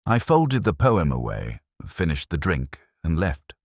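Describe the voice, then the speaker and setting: Male narrator, very low voice with lively intonation, dry studio sound; solemn scripted detective fiction, measured and literary. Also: dry recording. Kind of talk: scripted first-person detective story narration.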